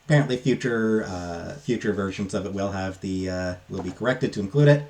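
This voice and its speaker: A man with a fairly low voice talks through a casual review. His delivery is unscripted and halting, with hesitant pauses and asides that trail off. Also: a little mellow. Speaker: book reviewer.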